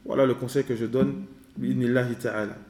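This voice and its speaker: Man, religious teacher, measured delivery, repeats advice, Arabic phrases, roomy echo. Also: mid-range voice, fairly even intonation, darkish tone. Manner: mixes in Arabic phrases and recitation. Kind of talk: religious lesson with questions and answers. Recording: echoey room.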